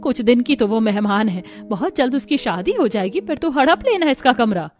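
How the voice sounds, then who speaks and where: A woman's voice: fairly high pitch, lively intonation, moderate pace, a little mellow, natural voice. A woman voicing a scolding, protective middle-aged mother-in-law in a Hindi family cartoon.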